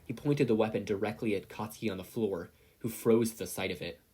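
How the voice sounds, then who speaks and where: Male story narrator, husky and fairly low, reading scripted fiction. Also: a little fast, mellow. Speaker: podfic narrator.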